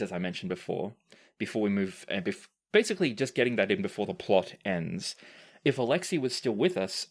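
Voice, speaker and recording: A man hosting a podcast as game master, reading and answering listener questions in measured, deliberate phrasing. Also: lowish voice.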